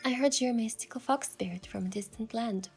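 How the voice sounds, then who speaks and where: A woman playing a young romantic heroine, narrating her adventure in a soft, measured, wistful way for a game story. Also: on the high side, vocal fry, faint music behind. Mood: playful. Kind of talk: scripted first-person story narration.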